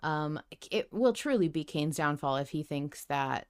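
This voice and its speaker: A woman's voice: deep voice, expressive, measured pace. A woman co-hosting a casual podcast discussion, thinking aloud with emphatic speculation. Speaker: podcast co-host. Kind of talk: casual discussion and speculation about an audio drama.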